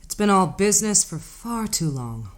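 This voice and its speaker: An actress playing a mysterious, composed woman hitchhiker in an audio drama, speaking in a cryptic, measured, formal manner. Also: medium pitch, big pitch swings, lightly acted. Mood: enigmatic, composed.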